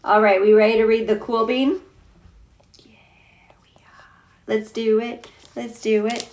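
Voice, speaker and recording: A woman's voice: a little high, flat delivery, a little slow, darkish tone. A woman teacher reading a picture book aloud to children, chatting playfully and putting questions to her young listeners. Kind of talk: children's read-aloud with casual asides to young viewers.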